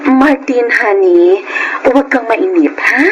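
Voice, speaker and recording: A woman's voice: on the high side, takes it slowly, lo-fi sound. A Filipino actress playing a scheming, unfaithful wife in a radio drama, switching between syrupy sweetness and haughty scorn. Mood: syrupy sweet. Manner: syrupy to husband, sharp and scornful to others. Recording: noisy radio drama audio.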